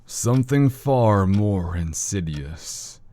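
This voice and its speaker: An actor playing a grave, learned older doctor of the occult in an audio horror drama, speaking in a measured, formal way. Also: male voice, fairly low pitch, expressive, mellow, no room sound.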